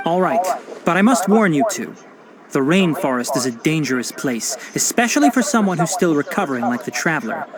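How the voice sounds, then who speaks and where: A man voicing a calm, learned young male forest ranger and botanist in a video game, explaining things in a patient, precise, lecturing way. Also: fairly high pitch, brisk, crowd noise. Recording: loud game soundtrack music behind.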